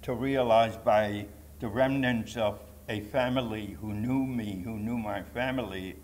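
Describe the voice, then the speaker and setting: A man's voice: lowish voice, fairly even intonation, unhurried, darkish tone, a little hiss or hum. A man in his nineties from Poland with a Polish accent, telling his life story to an audience in an emphatic, digressive way with rhetorical asides. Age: 90s. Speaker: Holocaust survivor giving testimony. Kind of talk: personal testimony to a live audience. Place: public talk to an audience.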